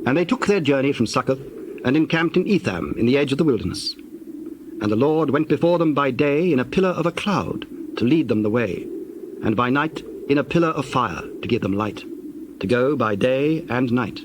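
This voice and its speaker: A man narrating dramatized scripture from a script, telling the story in a measured way. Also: medium pitch, animated, a little hiss or hum.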